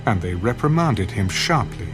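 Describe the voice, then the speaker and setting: A man with a fairly low voice narrates scripture from a script. His delivery is solemn and measured. Prominent music plays throughout behind him. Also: big pitch swings. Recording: loud background music.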